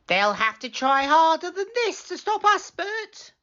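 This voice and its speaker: A woman's voice: very high-pitched, sing-song, a little slow, bright, forceful tone, muffled recording, voice pushed up, exaggerated voice. A woman voicing brash, greedy scavenging seagulls for a storybook, squawky and taunting.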